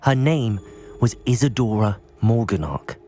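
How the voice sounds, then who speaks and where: A man's voice: fairly low pitch, expressive, a little slow, warm tone. A young man with a British accent playing an earnest, observant student wizard in a video game. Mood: earnest explaining. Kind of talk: video game dialogue acting.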